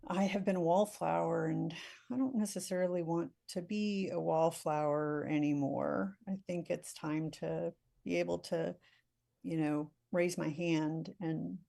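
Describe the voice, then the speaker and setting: A woman on a video call giving a soft, halting, heartfelt share at a recovery meeting. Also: on the low side, vocal fry. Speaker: meeting member sharing.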